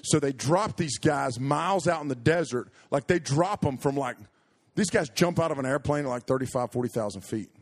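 A man preaching a sermon, telling a story with animated, jokey asides and frequent self-interruptions. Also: mid-range voice, fairly quick.

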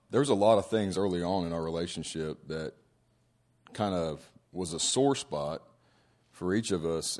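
A man with a very low voice speaks reflectively, giving his testimony. He chooses his words slowly and leans on fillers. He talks from a conference stage. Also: darkish tone. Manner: measured, reflective, hesitant fillers. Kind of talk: personal testimony talk.

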